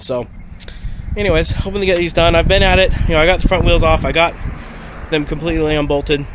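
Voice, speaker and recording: A man with a stuffy, sniffly voice talks through a car repair in a casual, halting way, stopping and restarting often. His pitch sits at an ordinary middle for a man. Faint outdoor sounds sit behind him. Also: fairly bright tone, a little hiss or hum. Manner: halting, many ums and restarts. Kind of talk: casual how-to explanation.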